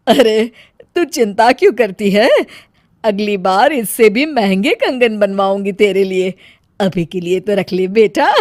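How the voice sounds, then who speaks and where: A woman's voice: mid-range voice, lively intonation, measured pace, a little mellow, no room sound, clean studio sound, close to an everyday voice. A woman playing a bossy middle-aged Indian mother-in-law in a cartoon moral story. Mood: doting. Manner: bossy, emphatic.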